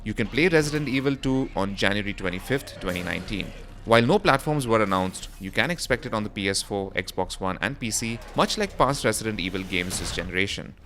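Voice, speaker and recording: A man's voice: on the low side, expressive, fairly quick, a bit bright, a little hiss or hum. A man reading a scripted voice-over for a gaming news roundup, in the role of presenter. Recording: music behind the voice. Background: background music.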